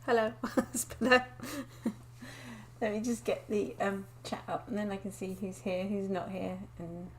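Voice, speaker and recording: A woman from England, chatting casually to viewers on a live stream from her desk, croaky and sniffly with a cold, often laughing. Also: medium pitch, little pitch movement, measured pace, a little hiss or hum. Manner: chatty, giggly, greets viewers by name. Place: home desk indoors.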